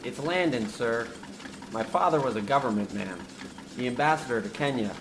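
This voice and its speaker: A man's voice: mid-range voice, animated, normal speed, fairly bright tone, natural voice. Man playing an earnest young inspector, noisy background. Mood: earnest, firm. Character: earnest young government safety inspector, well-bred. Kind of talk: comic radio play acting.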